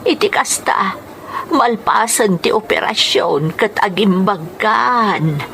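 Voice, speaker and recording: A woman's voice: mid-range voice, expressive, slow pace, gravelly, pushed and bright, slight background noise, full character voice. A woman with an Ilocano accent playing a caring, burdened middle-aged wife and mother, soothing and earnest, in a radio drama. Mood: reassuring.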